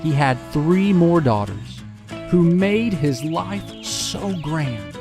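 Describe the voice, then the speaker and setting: A man narrating a scripted rhyming Bible story in a measured, deliberate way. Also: on the high side, animated, music in the background.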